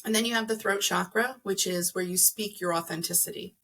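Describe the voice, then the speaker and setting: A woman's voice: medium pitch, steady tone, a little fast, sharp tone, slight background noise, poor audio. A middle-aged woman explaining things conversationally as an interview guest, earnest and emphatic, acting out imagined remarks. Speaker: podcast guest, energy healer.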